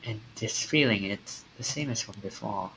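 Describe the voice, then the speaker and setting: A man's voice: on the low side, moderate pace, fairly bright tone, low-quality recording. A man reading visual novel dialogue aloud in a theatrical way as he streams a game. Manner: acts out the lines theatrically. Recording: game music behind the voice. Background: video game music.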